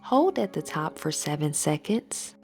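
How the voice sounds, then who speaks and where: A woman leading a scripted guided meditation as an instructor, in a soothing, measured, softly breathy delivery. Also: deep voice, sing-song. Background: soft background music.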